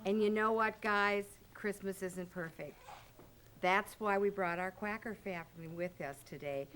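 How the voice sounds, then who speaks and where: A woman's voice: medium pitch, a bit bright, slight background noise. A woman hosting a holiday gathering, chatting informally in a folksy way to the group, with music behind her. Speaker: host of a family holiday reading.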